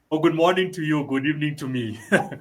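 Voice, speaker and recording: A man's voice: on the high side, a little hiss or hum. A man hosting a podcast in casual interview chat, often repeating short phrases and filling in with "you know". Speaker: podcast host.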